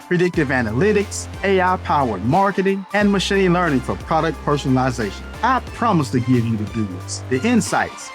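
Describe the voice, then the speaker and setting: A man hosting a business podcast, reading an upbeat scripted intro, then asking interview questions. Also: fairly high pitch. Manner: upbeat announcer delivery in the intro. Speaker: podcast host.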